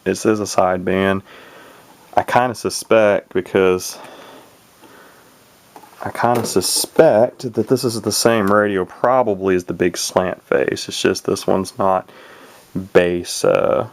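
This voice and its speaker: A man giving a casual, unscripted demonstration as a hobbyist, rambling and hesitant, often correcting himself. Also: deep voice. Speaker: hobbyist presenter showing a vintage radio. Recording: clearly noisy home video recording.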